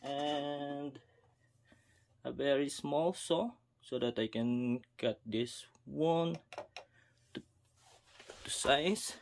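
A man casually narrating his own hands-on fishing rod repair to viewers, talking to himself between steps at an unhurried pace. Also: medium pitch, gravelly, slight background noise. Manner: unhurried, self-talk with pauses, addresses viewers as guys. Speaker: fishing video host. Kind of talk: casual how-to narration while working. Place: indoors at a wooden table.

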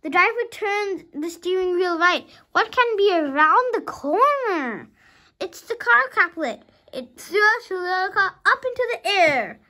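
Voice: very high-pitched, very animated, measured pace, sharp tone. A young child of about five reading a storybook aloud dramatically, sometimes stumbling over words and rereading them.

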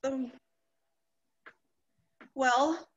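A woman's voice: high voice, fairly even intonation, very slow, dull audio. A middle-aged woman playing an anxious, melodramatic wife in a stage comedy, fretful and exclaiming. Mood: anxious, pleading. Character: anxious, melodramatic wife awaiting soldier husband's return. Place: indoor room, recorded over video call.

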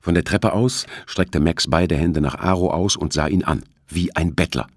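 A man's voice: low-pitched, normal speed, slightly rough, dry recording, clean studio sound. A man reading a thriller audiobook as its narrator, in scripted storytelling style.

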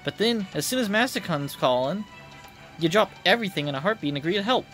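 A man's voice: high-pitched, lively intonation, fast, a bit bright. A bossy young warrior queen acted out by a man in a video game. The delivery is emphatic, theatrical and indignant, in a raised voice over faint music. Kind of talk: video game dialogue read aloud.

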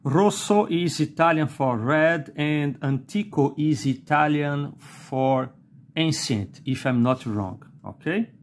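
A middle-aged man with a Brazilian Portuguese accent, not a native English speaker, reviewing a fountain pen at a desk, slow and halting with many "uh"s and a questioning "okay?". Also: fairly high pitch. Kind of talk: unscripted product review while writing. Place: indoors at a desk.

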